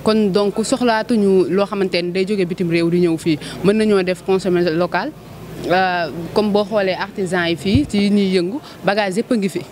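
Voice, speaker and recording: A young woman with a Senegalese accent, answering interview questions as a company representative into a handheld mic, steady and salesy. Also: mid-range voice, normal speed, slight background noise. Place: exhibition stand indoors.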